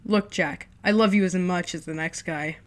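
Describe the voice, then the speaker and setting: A woman voicing a sarcastic, chain-smoking older brother, a young man with a deep mocking voice. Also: moderate pace, close to an everyday voice. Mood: scornful. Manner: mocking, contemptuous.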